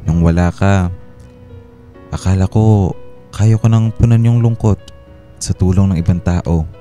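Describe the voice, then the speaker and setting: An actor playing a remorseful, devoted husband in a Filipino radio drama, soft, sincere and apologetic. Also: male voice, deep voice, measured pace, soft background music. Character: remorseful, devoted husband and father pleading forgiveness.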